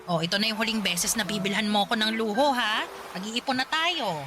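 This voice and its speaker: A woman's voice: medium pitch, fairly quick, close to an everyday voice. An actress playing a loving, thrifty, emotional mother in a Tagalog radio drama, fretting and tender. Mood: gently admonishing. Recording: music bed behind the voice.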